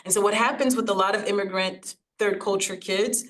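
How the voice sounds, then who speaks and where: A woman raised in the United States by Haitian parents, Haitian Creole her first language, giving a reflective talk over slides and often pausing to search for words. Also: mid-range voice, steady tone, a bit bright. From United States, Haitian family. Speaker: guest speaker. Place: online recording, video call.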